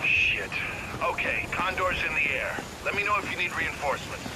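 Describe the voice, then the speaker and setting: An actor playing a sardonic tech expert at mission control in a video game, talking casually over radio comms. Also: male voice, fairly high pitch, sing-song, normal speed. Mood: tense, businesslike. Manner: sarcastic, casual. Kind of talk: video game cinematic acting. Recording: radio voice under loud game noise.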